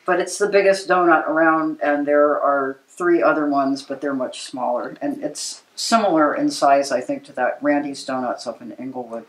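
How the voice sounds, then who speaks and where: An American woman in her sixties explaining things in a measured way during a conversational interview as a local preservation advocate. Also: deep voice. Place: living room with books, indoors. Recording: roomy, echoey living room.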